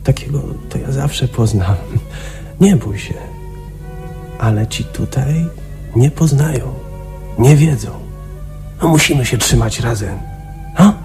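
An actor playing a delusional, paranoid patient who confides in a chummy, conspiratorial way in a radio play. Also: male voice, medium pitch, takes it slowly, pauses often, music in the background. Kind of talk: Polish radio play acting.

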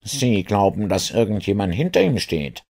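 Man reading as earnest, lively police inspector. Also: lowish voice, moderate pace, gravelly, slightly rough, pitched above the performer's own voice, heavily acted. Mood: puzzled, probing. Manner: questioning, insistent. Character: earnest, sceptical, matter-of-fact male police inspector.

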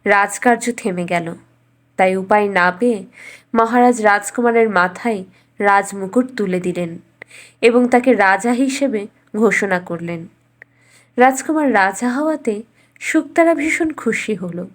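A woman narrating a scripted bedtime fairy tale as a storyteller, in a measured, expressive way. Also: mid-range voice.

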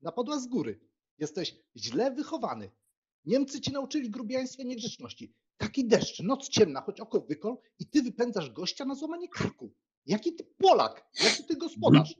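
A man acts out a young country gentleman for a dramatised audiobook. He is frank and teasing, with a husky, expressive delivery. The sound is slightly dull. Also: extremely high pitch, normal speed, exaggerated voice. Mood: mock scolding. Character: young Polish country squire, frank, teasing, deferential brother.

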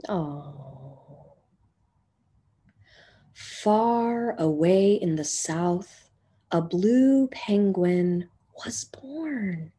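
Slow soft teacher lady reading a picture book to kids, kinda breathy, webcam in a small room. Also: lowish voice, expressive. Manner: slow, gentle storytelling with soft whispered passages. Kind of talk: children's picture book read-aloud. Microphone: webcam on a video call.

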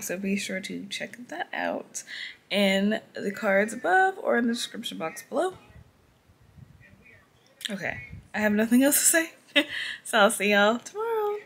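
A young woman talking casually into her phone camera, relaxed and rambling. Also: mid-range voice, creaky. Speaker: vlogger. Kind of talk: casual vlog chat. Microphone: phone camera close up.

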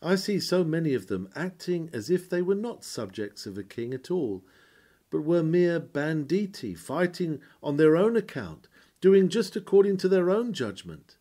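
A man reading a scripted historical sermon aloud in a measured, solemn and formal oratorical manner. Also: fairly high pitch, sing-song, warm tone.

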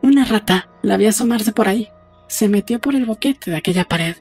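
A woman playing a brisk, practical young woman in an audiobook drama, talking in a matter-of-fact, conversational way. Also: medium pitch, animated, a little mellow, breathy edge, soft background music. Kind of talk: audiobook dialogue acting.

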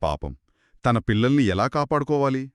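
A man narrates a story for children as if chatting with a partner. His medium-pitched voice moves up and down with great animation and expressive exclamations. The recording is dry, with no room sound. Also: normal speed, dry recording. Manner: expressive, reacts with exclamations and short questions. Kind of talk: conversational children's storytelling dialogue.

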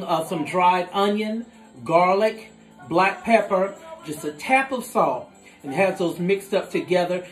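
A man in his forties hosting a home cooking demonstration, explaining each step in a relaxed, instructive way as he works at his kitchen counter. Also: high voice, reverberant. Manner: relaxed, instructive, talks while working with his hands. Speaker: cooking show host. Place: home kitchen with dining room behind, indoors.

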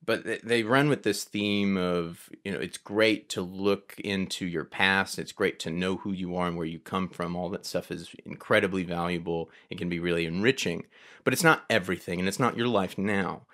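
A man casually discussing films on a podcast, telling things in an animated way and acting out his own reactions. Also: low-pitched, moderate pace. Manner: animated storyteller, acts out his reactions as quotes. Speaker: podcast guest or co-host.

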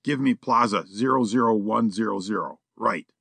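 A man's voice: lowish voice, animated, moderate pace, bright, forceful tone, dry recording. Man doing a cheeky, slangy burglar. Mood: wheedling. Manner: slangy, casual, chatty. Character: easygoing slangy male burglar, cheeky and relaxed.

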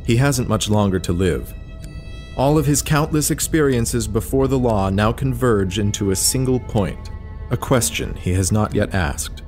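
A man's voice: lowish voice, measured pace, a little mellow, soft background music. A man narrating a story in an audio drama, reading scripted prose as the narrator.

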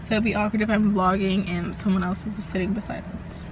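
A woman in her twenties talking to a handheld camera in a casual vlog, chatty and hoarse from losing her voice. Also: mid-range voice, level tone, brisk, noisy background. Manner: chatty, says "like" and "oh my gosh" often. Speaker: vlogger. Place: bedroom, then inside a car. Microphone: handheld camera at arm's length.

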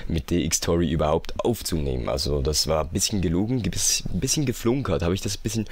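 Deep-voiced young Swiss German guy. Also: fast talker, a little mellow, breathy edge. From Switzerland. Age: young adult. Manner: slangy, sarcastic, self-mocking. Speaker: YouTube gamer. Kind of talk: casual mocking reaction commentary.

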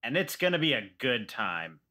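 A man's voice: medium pitch, very animated, normal speed, pushed and bright. A man chatting and joking casually as a player on a podcast. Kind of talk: casual joking group chat.

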